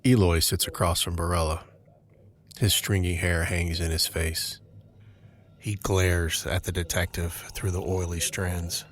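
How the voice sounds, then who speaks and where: A man's voice: low voice, flat delivery, measured pace, a little hiss or hum. A man giving scripted narration for an audio drama, describing each scene.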